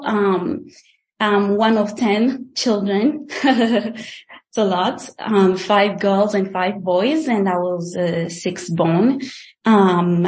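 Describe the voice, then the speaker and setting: A young woman from the Democratic Republic of Congo, a guest telling her life story in an interview, speaking hesitantly with many pauses and fillers. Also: on the low side, darkish tone.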